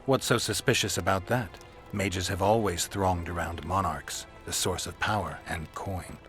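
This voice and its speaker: A stoic male monster hunter for a fantasy game. His voice is very deep, his delivery laconic and dry. He gives little away, with music playing underneath. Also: fairly even intonation, normal speed, a bit bright. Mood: deadpan. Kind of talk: video game dialogue acting. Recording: music behind the voice.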